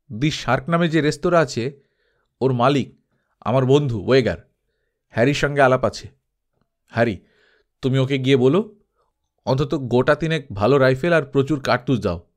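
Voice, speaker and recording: A man's voice: mid-range voice, lightly acted. A man voicing a bossy, scolding male leader of adventurers in a dramatised audiobook.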